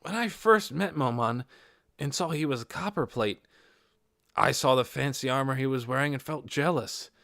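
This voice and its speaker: A man's voice: mid-range voice, moderate pace, vocal fry, fairly bright tone, no echo, studio quality. A man voicing an earnest, modest young male adventurer leader in an audiobook, explaining things carefully. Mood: admiring.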